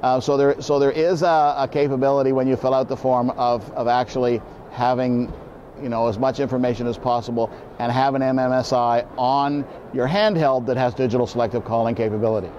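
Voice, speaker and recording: A man, explaining things informally as an expert interview guest in a halting way, repeating words. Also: mid-range voice, steady tone, darkish tone. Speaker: boating program manager, expert guest. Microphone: lapel mic. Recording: slightly dull, faint background noise.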